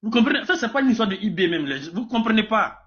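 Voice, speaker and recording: A man's voice: high-pitched, animated, fast. A man with a Burkinabé accent arguing off the cuff in a live political debate, emphatic and repetitive, often stumbling over his words. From Burkina Faso. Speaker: debate participant. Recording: dull lo-fi live stream audio.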